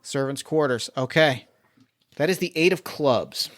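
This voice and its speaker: A man acting as game master and host, voice sitting at an ordinary middle pitch. He talks in a relaxed, halting way, full of "like" and "uh" and restarting his sentences as he works things out aloud. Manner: halting, many fillers and restarts while thinking aloud. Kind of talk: casual chat running a solo tabletop game.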